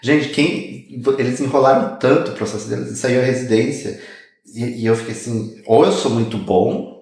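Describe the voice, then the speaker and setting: A Brazilian man talks casually in a very echoey room. His pitch is average, and his delivery is chatty and halting, with English words mixed in. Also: a little mellow. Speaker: guest immigration consultant. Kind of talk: casual podcast conversation.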